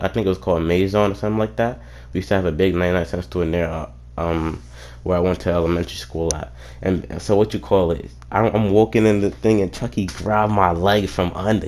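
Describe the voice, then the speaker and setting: A young man with a deep, low voice tells a childhood story to his viewers. He rambles, swears freely and keeps reaching for "what you call it" as he goes. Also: darkish tone. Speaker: streamer. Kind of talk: casual storytelling.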